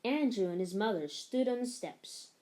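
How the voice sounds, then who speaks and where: A woman narrates a children's story from a picture book. Her voice is on the high side and very melodic, and she tells it expressively, in measured phrases. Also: animated, dark tone. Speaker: story reader.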